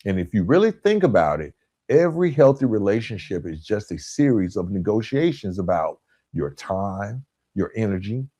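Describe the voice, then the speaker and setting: A man hosting a motivational self-help podcast, speaking in an emphatic, instructional way with deliberate pauses and stressed key words. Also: on the low side, mellow, no room sound. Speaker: podcast host.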